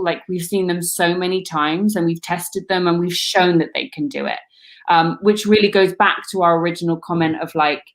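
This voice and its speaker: British woman, casting director, rambling candid chat, lowish voice. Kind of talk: casual Q&A chat.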